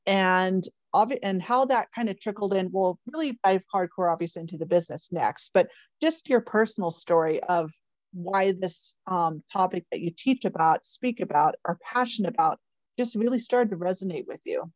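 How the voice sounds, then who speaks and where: A woman's voice: medium pitch, moderate pace, no room sound. A woman hosting a podcast, chatting informally and emphatically as she speaks straight to her listeners. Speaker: podcast host and caregiver coach. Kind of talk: podcast intro and interview chat.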